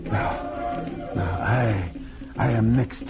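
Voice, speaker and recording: An actor playing a big, proud, fearless rebel commander who speaks terse, commanding broken English in a 1940s radio drama. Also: male voice, fairly low pitch, drawn-out words, vocal fry, a loud crowd, full character voice. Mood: urgent. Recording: dull vintage radio recording with music.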